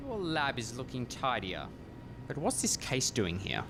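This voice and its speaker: An actor performs an officious male university inspector in a radio farce. He is stern and condescending, with lively, swinging intonation, recorded with clear background noise and rumble. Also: unhurried. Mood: suspicious. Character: stern, pompous male university lab inspector, easily irritated. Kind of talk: comic radio play acting.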